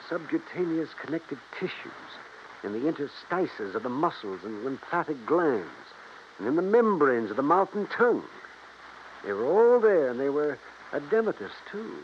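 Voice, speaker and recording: A folksy American country vet in an old radio drama, defensive and easily flustered. His pitch moves freely, on a muffled recording with slight hiss. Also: sing-song, normal speed. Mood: baffled. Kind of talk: 1950s radio drama acting. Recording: dull, faintly noisy 1950s radio recording.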